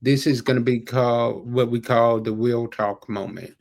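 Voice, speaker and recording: A man's voice: medium pitch, fairly even intonation, moderate pace, gravelly, a little mellow. A man hosting an interview, a preacher telling anecdotes in an informal, colloquial way with words repeated for emphasis. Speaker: podcast host, preacher. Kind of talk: casual chat, anecdotal storytelling.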